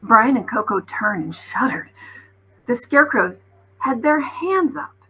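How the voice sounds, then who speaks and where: A woman's voice: fairly high pitch, animated, unhurried, pushed and bright, breathy edge, poor audio. A woman, a teacher reading aloud, narrating a children's story expressively.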